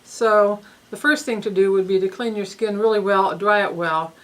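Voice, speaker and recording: A woman's voice: mid-range voice, normal speed, poor audio. A woman coordinating a research study, patiently explaining participant forms step by step, slightly stuffy-nosed, with occasional ums.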